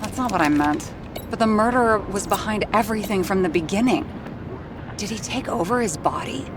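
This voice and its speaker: An actress playing a blunt, troubled woman investigator in a dystopian noir video game, direct, questioning and sharp-tongued. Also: medium pitch, animated, brisk, noisy background, close to an everyday voice. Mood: serious, intrigued. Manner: direct, questioning, foul-mouthed. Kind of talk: cinematic video game dialogue.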